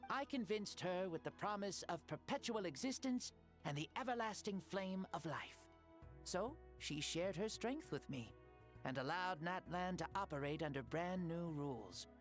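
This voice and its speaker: A genial ancient founder back from the dead. He tells his tale gently and in measured phrases, his pitch rising and falling a great deal. Soft game music runs underneath. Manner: gentle, lively, measured storytelling. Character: ancient man, a founder returned from death, genial. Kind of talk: game cutscene dialogue.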